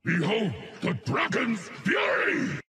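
Gravelly monster warlord for a game, slow and menacing, swoops wildly, big reverb. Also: male voice, sits high, very animated, bright, forceful tone, raised voice, full character voice. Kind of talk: shouted hero-shooter game voice lines. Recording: long reverb on the voice.

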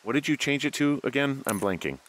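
An actor playing an anxious, unsure fire lookout in a video game, talking in a natural, hesitant way. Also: male voice, medium pitch, animated, fairly quick, a bit bright, natural voice, poor audio. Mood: flustered. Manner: hesitant, conversational. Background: game music.

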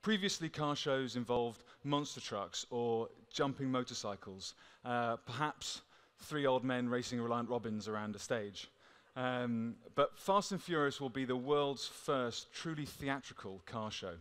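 A man's voice: medium pitch, measured pace, few pauses, clean tone. A man in his thirties presenting a live car show on stage with a headset mic, explaining at length with frequent fillers. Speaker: creative director presenting a show. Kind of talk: presentation speech. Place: dark stage or studio. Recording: loud vehicle noise behind.

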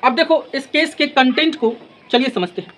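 A man teaches in a notably high voice. He lectures deliberately, phrase by phrase, mixing in English legal terms and repeating points for emphasis. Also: animated, fairly bright tone. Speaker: law lecturer. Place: in front of a classroom blackboard. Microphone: lapel mic.

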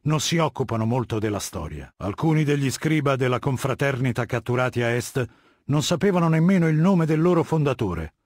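An actor voicing a cold, haughty middle-aged warlord dictator in a video game, lecturing in a measured, commanding and disdainful way. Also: male voice, medium pitch, expressive, a little mellow, no echo, studio quality, lightly acted. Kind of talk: video game character dialogue.

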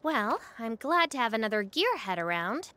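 A confident young woman mechanic, high and bright. She sounds practical, with lively pitch, in a dry video game recording. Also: normal speed, no echo. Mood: practical, friendly.

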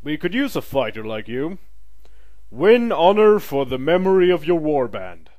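A man playing a brisk, pragmatic military recruiter in an audio drama, matter-of-fact and wry. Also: fairly high pitch, very animated, a little mellow, no echo.

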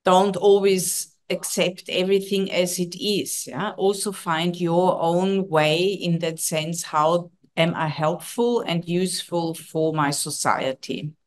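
A woman's voice: on the low side, fairly even intonation, slow, hardly any pauses. Earnest woman, non-native English speaker. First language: not English. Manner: earnest, coaching tone, frequent "yeah?" tags. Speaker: leadership and communication trainer. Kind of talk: video call interview. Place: home office. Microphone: video call.

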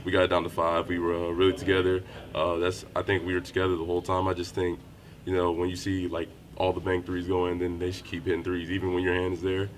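A young man answering questions at a postgame press interview, speaking in a low-key, measured way with frequent fillers. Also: monotone. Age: young adult. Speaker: college basketball player. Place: small indoor room by a wall. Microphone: handheld reporter mics held close.

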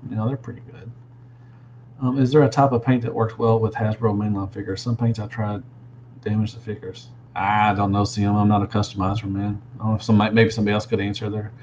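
A Southern man in his thirties chats casually on a livestream, speaking in a somewhat low voice with a drawl. He rambles easily and keeps dropping "man" into his sentences. The headset audio sounds dull and lo-fi, with a faint hum underneath. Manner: relaxed, rambling, drawn-out fillers, says "man" a lot. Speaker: toy reviewer answering live chat. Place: small room at home, indoors. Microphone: gaming headset.

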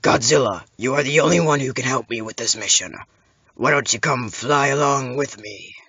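A man's voice: mid-range voice, normal speed, sharp tone. A man voicing an earnest heroic giant monster who speaks with urgency as he seeks an ally.

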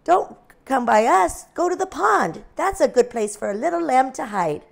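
A woman's voice: high-pitched, expressive, moderate pace, creaky, pitched above the performer's own voice, exaggerated voice. A woman voicing a group of bossy pigs who insist in a storybook reading. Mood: insistent.

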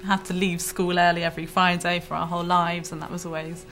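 A woman's voice: lowish voice, little pitch movement, quick pace, a bit bright, faint music behind. Heartfelt young woman. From Britain. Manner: heartfelt, finishes her husband's sentences. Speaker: emigrating wife. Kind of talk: documentary interview.

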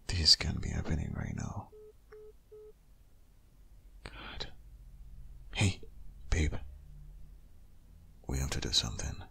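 A man playing a devoted, anxious but reassuring vet boyfriend in an intimate ASMR audio roleplay, whispering breathily with hushed, coaxing urgency. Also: a bass voice, vocal fry, exaggerated voice.

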